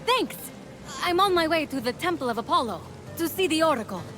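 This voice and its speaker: A woman's voice: high-pitched, lively intonation, a little fast, over music. A woman voicing an earnest, plucky young heroine on a quest in a video game cutscene. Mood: eager, friendly.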